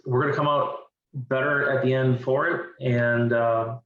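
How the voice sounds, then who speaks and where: A man's voice: medium pitch, little pitch movement, measured pace. A man in his forties, a divorced father talking earnestly as an interview guest and often repeating words as he thinks. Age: 40s. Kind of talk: candid conversational interview. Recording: distant, roomy and dull-sounding.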